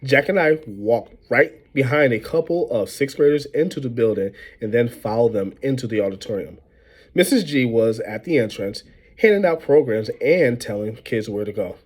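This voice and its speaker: Guy reading a kids book out loud, medium pitched voice, animated storyteller. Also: normal speed, husky. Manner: animated, acts out dialogue. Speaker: reader reading a book aloud. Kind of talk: expressive children's novel read-aloud.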